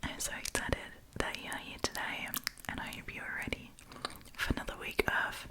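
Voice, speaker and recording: Whispering woman, chatty ASMR storyteller. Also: brisk. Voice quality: soft breathy whisper. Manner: chatty, gossipy, addresses listeners as friends. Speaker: host of a storytime channel.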